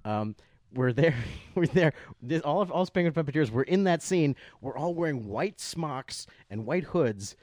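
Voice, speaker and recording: A man telling a casual anecdote about his work as a puppeteer, animated and acting out the voices of people he quotes. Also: a little high, measured pace, dry recording, clean studio sound. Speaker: guest recounting work as a puppeteer.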